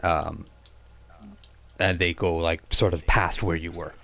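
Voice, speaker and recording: Male game master, deep-pitched, describing scenes off the cuff with a halting, word-hunting delivery. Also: level tone, creaky, fairly bright tone. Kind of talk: narrating a live tabletop role-playing game, improvised.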